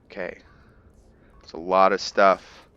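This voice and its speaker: Slow, deep-voiced man reading game lore. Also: level tone, pushed and bright, smooth. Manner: reads haltingly, stumbling over fantasy names. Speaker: gameplay roleplay streamer. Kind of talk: reading game lore aloud and commenting on gameplay. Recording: slightly dull lo-fi audio.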